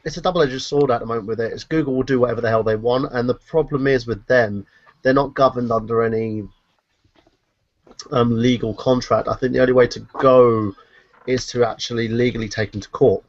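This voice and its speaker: A man's voice: mid-range voice, fairly even intonation, brisk, no room sound. A man from the United Kingdom on a group stream call, explaining things at length with frequent ums. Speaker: stream panelist. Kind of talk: casual group chat. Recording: dull dry lo-fi call audio.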